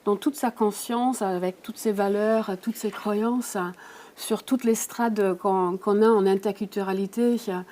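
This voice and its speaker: A woman's voice: mid-range voice, steady tone, measured pace, dark tone, a little hiss or hum. A woman at a conference interview who searches for her words, using many fillers. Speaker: coach.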